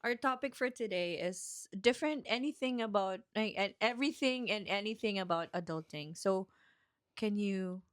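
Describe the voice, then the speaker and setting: A woman's voice: medium pitch, normal speed, no echo, studio quality. A woman in her late twenties with a Filipino accent, chatting playfully and expressively as a podcast co-host, switching between languages. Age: late 20s. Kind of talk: casual bantering podcast chat in mixed languages.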